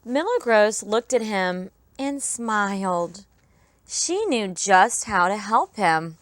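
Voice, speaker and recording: A woman teacher narrating a children's storybook aloud, expressively, with drawn-out emphasis for young listeners. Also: mid-range voice, animated, dry recording, clean studio sound. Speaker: pre-kindergarten teacher.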